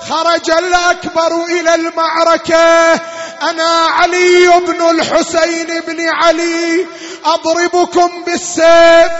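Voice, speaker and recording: A man preaches a lamentation in a bright, forceful wail, half sung and full of anguish. He holds a narrow, insistent line and stretches his words slowly. The sound is dull and lo-fi. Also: very high-pitched. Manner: anguished, wailing, drawn-out delivery. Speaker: religious mourning reciter and preacher. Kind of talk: Shia lamentation sermon, half-chanted. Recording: long hall reverb, dull lo-fi sound, music-like backing.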